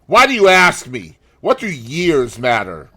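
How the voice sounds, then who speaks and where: A man voicing a grim, vengeful sailor in an audiobook, growling threats in a forceful, menacing way. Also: fairly high pitch, wildly swooping pitch, measured pace, voice pushed up, exaggerated voice. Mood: impatient.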